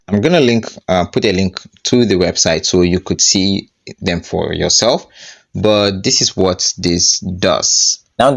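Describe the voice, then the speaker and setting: A man presenting a casual software tutorial, with somewhat halting, hesitant phrasing. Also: deep voice.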